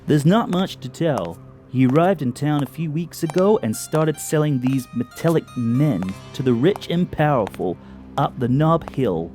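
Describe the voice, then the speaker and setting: A man's voice: a little high, lively intonation, a little slow, a little mellow, soft background music. A man playing an alien villain who poses as a brusque American police detective in an audio drama, smug and theatrical. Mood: sly, explanatory.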